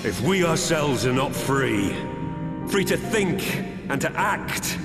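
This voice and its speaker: Video game villain: bitter warrior, slow sneering oratory, echoing hall, loud music. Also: male voice, on the high side, very animated. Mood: defiant fury. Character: bitter, defiant traitor warrior, middle-aged man. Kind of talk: dramatic video game acting.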